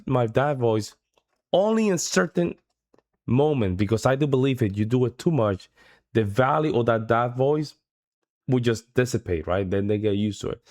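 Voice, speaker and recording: A man's voice: medium pitch, gravelly, dry recording, studio quality. A man hosting a reaction video, talking casually with slang and disbelief. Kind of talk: casual commentary.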